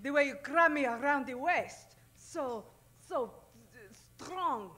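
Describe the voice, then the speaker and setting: A woman's voice: sits high, lively intonation, pushed and bright, full character voice. A woman playing a scheming, haughty Mexican villainess who frightens easily, in a melodramatic comedic old-time radio style. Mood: swooning. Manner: melodramatic, halting delivery.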